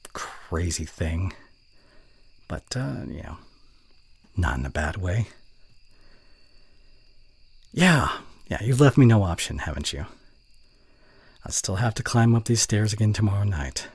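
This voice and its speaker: College-age male romantic lead for ASMR roleplay, acted by a man: very low, very husky voice, soft and hesitant, fondly teasing. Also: no room sound, heavily acted. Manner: soft, hesitant, tender delivery with whispered asides. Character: young male college voice actor, fond, teasing, reserved romantic. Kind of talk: intimate ASMR roleplay audio acting.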